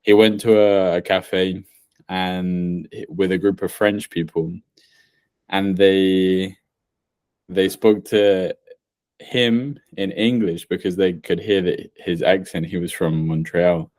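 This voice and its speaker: A man with a low voice hosting a casual podcast. He works through his thoughts steadily, leaning on examples and pausing to restart. The sound is dry and close. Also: fairly even intonation, a little mellow, no room sound. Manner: measured, builds points through examples with pauses and restarts. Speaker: podcast host.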